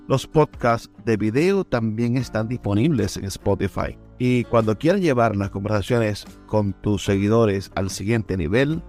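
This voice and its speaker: A man's voice: on the low side, darkish tone. A man reading scripted promotional narration as a radio host.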